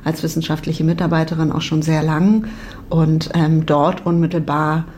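A woman from Berlin in her forties answering interview questions thoughtfully, often pausing and restarting her sentences. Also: deep voice, little pitch movement, mellow, slight background noise. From Berlin, Germany. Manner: thoughtful, many restarts and hesitations. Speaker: psychology professor.

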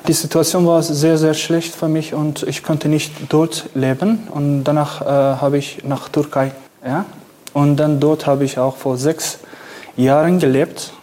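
Slow, halting Kurdish-accented man. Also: a little high, flat delivery, mellow, slight background noise. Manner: halting, searching for words, self-deprecating. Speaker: author and satirist. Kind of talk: reading event talk. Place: event venue with audience.

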